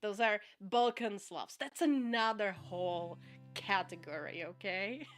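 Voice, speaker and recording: A woman with a Czech accent chats with her live audience in a middle-pitched voice. She laughs easily and keeps checking in with "okay?" as she makes her points. Faint music plays quietly behind her. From Czech Republic. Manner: chatty, laughs often, repeats "okay?" to stress points. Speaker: VTuber streamer. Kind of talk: casual livestream chat, answering viewer chat.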